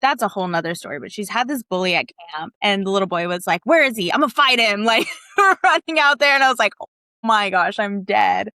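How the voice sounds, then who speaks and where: Bright-voiced mother from California. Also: medium pitch, brisk, no echo, clean studio sound. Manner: lively storyteller, animated. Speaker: podcast co-host. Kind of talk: casual chat.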